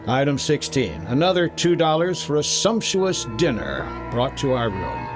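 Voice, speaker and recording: An American man playing a brisk, wry insurance investigator in a 1950s-style detective radio drama, with a clipped, dry delivery. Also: on the high side, very animated, over music. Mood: dry, matter-of-fact. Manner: clipped, dry, hard-boiled. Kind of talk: 1950s detective radio drama acting, first-person case narration.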